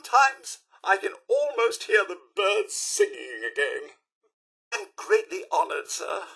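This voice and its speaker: A man voicing a fussy, loyal robot butler in a video game, with a British accent, a raspy edge and a formal, pompous, theatrical delivery. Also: high voice, sing-song, takes it slowly, pushed and bright, full character voice, poor audio. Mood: eager, deferential. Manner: formal, pompous, deferential, exclamatory. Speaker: companion servant in a video game.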